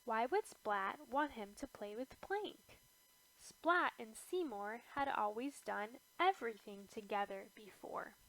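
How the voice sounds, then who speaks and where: A woman narrating a children's picture book in a deliberate, expressive storytelling manner. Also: a little high, rough recording. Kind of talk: scripted children's read-aloud narration.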